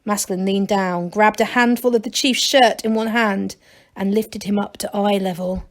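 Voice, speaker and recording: A woman's voice: mid-range voice, steady tone, normal speed. A woman reading a children's storybook aloud as narrator, like a teacher to a class.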